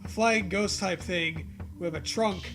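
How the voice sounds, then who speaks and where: A man's voice: sits high, measured pace, music in the background. A man reading a story aloud for comedy, breaking off to swear and riff casually.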